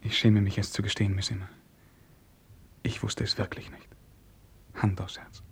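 An actor playing a sheltered, well-bred young prince in a radio drama, formal, hesitant and earnest. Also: male voice, lowish voice, little pitch movement, normal speed, vocal fry, slightly rough, in a whisper, full character voice. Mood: ashamed. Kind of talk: German radio drama acting.

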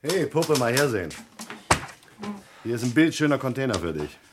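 A man playing a brisk, cheeky working-class delivery driver in a German radio crime drama, with a casual, slangy way of talking. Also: medium pitch, big pitch swings, close to an everyday voice. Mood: breezy, cheeky. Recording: faint noise, old radio drama.

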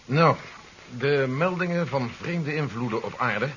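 Earnest sci-fi investigator, formal, really varied pitch, old muffled hissy radio recording. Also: male voice, lively intonation, unhurried, fairly bright tone. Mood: earnest, urgent. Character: earnest male investigator warning of an alien invasion. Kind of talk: 1970s Dutch radio drama acting. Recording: dull, noisy 1970s radio recording.

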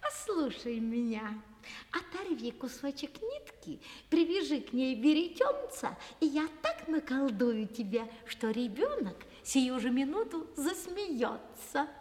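Proud sly cat for a kids fairy tale, high voice, slow n coaxing, meows, echoey. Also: female voice, big pitch swings, darkish tone. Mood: wheedling. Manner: meowing, purring, wheedling, self-assured. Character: sly, proud, independent female cat bargaining in a cave. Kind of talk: theatrical fairy-tale audio play.

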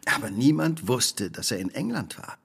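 An actor playing a shrewd, self-assured detective who speaks precisely and probingly in a radio play. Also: male voice, medium pitch, big pitch swings, normal speed, creaky, a bit bright, slightly rough, heavily acted. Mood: incisive, deducing. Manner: precise, probing, imperious deduction. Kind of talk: dramatic radio play dialogue.

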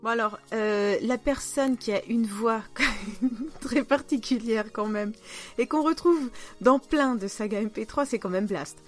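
A woman with a fairly high voice, chatting informally as a podcast host. Her talk is relaxed in form and conversational, with faint music around. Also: measured pace. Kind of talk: casual chat.

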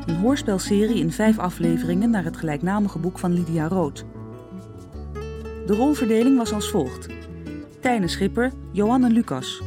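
A woman announcer reading scripted radio drama credits over plucked guitar music. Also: mid-range voice. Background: prominent plucked guitar music.

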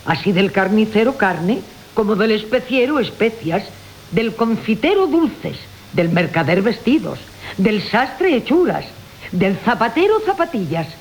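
An actress playing a sly, flattering older servant woman in a classical comic radio play, theatrical and wheedling. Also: mid-range voice, measured pace, low-quality recording. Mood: sly, knowing. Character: sly older widowed female servant, flattering, mocking schemer. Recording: hissy old radio recording.

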